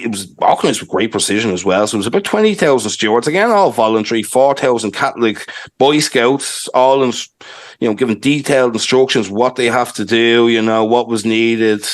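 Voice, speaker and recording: Chatty Dublin man rambling through history. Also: mid-range voice. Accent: Irish, Dublin. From Ireland. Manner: rambling, filler-heavy, chatty. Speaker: podcast co-host. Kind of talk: casual history chat.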